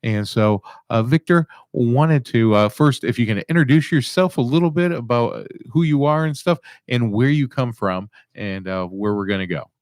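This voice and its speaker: A man's voice: mid-range voice, moderate pace, few pauses, darkish tone, dry recording, studio quality. A man hosting an interview show, rambling a little with fillers as he introduces his guest. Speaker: host.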